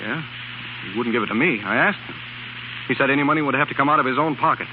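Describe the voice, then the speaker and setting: Actor as a rapid-fire, bitter American husband. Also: male voice, mid-range voice, lightly acted. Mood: jealous, accusing. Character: proud, wounded young husband, bitter, jealous struggling writer. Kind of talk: 1930s radio drama acting. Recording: muffled, noisy 1930s radio broadcast.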